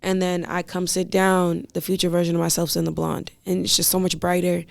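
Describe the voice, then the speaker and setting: A woman rapper with a raspy voice, talking casually and with lots of slang in an interview. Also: on the low side, level tone, brisk, a crowd in the background. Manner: slangy, rambling, laughs often. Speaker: rapper guest.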